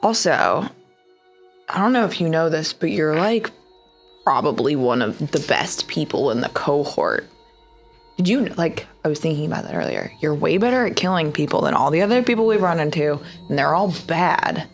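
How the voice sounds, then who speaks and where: Woman, tabletop player, low-pitched, casual in-character chat with faint music behind. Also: sing-song, normal speed.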